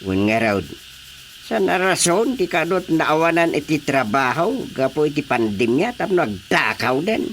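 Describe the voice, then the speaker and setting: A gruff village chairman with an Ilocano accent, questioning his officials in radio drama style. Faint noise and night insects sit behind the voice. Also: a little high, big pitch swings, moderate pace, fairly bright tone. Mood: stern.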